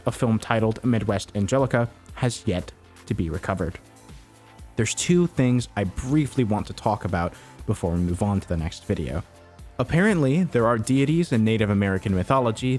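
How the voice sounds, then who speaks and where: A man narrating a scripted explainer as a video essayist, telling the story in a measured way with wry, informal asides. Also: fairly low pitch, darkish tone. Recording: music bed behind the voice.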